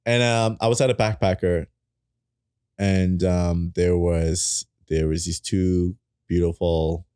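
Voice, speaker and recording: A man in his thirties, a native German speaker, telling wild travel stories as a podcast guest with lots of laughter and cursing, in a small studio on a boom mic. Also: slow pace, smooth, no echo. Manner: animated, laughing, swearing freely. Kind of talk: casual storytelling in a podcast. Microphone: boom mic on a stand.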